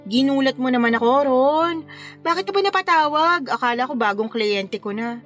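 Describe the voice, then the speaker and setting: A woman playing a frank, playful young wedding planner in radio drama dialogue, teasing and animated. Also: high voice, moderate pace, faint music behind.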